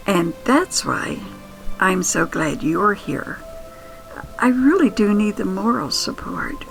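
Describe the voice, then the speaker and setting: Older woman, creaky voice, unhurried, wistful nostalgic storytelling, intimate podcast host, soft music underneath. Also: mid-range voice, sing-song, low-quality recording. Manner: wistful, sentimental, with soft exclamations and musing pauses.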